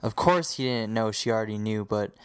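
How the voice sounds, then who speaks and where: A man's voice: lowish voice, a little fast, clean tone. A man reading scripted story narration as the narrator of an audio drama.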